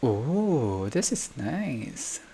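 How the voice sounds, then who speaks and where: A gamer reading visual novel text aloud in a halting way, breaking off for casual asides and reactions. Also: mid-range voice, sing-song. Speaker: gaming video creator playing a visual novel. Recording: clearly noisy home recording, unstable audio.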